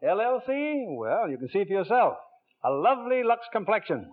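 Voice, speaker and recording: A man's voice: high-pitched, big pitch swings, normal speed. A man hosting a 1940s radio show with polished, scripted announcer delivery. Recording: tinny 1940s radio recording.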